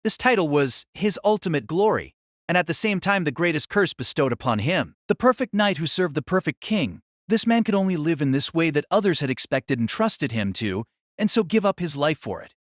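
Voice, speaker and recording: A man's voice: a little high, big pitch swings, brisk, no room sound, studio quality. A man narrating a novel as a dramatic audio story, in a solemn, theatrical manner.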